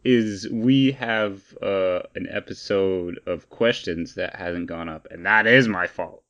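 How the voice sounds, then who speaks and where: Low-voiced man in casual podcast banter. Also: slow, a bit bright. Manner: informal, bantering. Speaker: podcast co-host. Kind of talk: casual chat, explaining gaming news.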